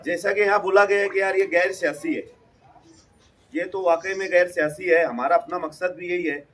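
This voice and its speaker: A man's voice: high voice, fairly even intonation, moderate pace, fairly bright tone, distant mic. A man making an impromptu, insistent speech at a public meeting, often repeating his points. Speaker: community member speaking at a public meeting. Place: local community meeting, at a distance from the mic.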